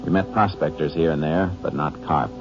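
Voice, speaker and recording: A man acting a calm, decisive Texas Ranger with an American accent and a terse, authoritative manner in an old radio drama. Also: very deep voice, normal speed. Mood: measured narration. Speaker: lead actor and narrator. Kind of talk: 1950s radio acting and narration. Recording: dull old radio recording with loud music.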